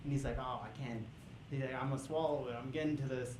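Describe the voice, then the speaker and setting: A young man doing a stand-up comedy set on a club stage, hyping the crowd and then dropping into deadpan setups. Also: mid-range voice, fast talker. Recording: echoey club with crowd noise.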